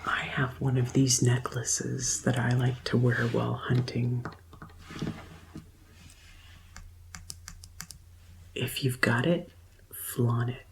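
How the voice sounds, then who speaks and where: A woman's voice: a bass voice, completely flat delivery, gravelly, heavily acted. A woman playing a helpful vampire tour guide in a soothing ASMR roleplay whisper with a crackle in it. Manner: slow, soothing customer-service patter. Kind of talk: soft-spoken ASMR roleplay.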